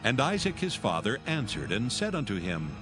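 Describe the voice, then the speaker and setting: A man narrating a dramatized scripture reading in a measured, solemn storytelling manner. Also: medium pitch, wildly swooping pitch, over music.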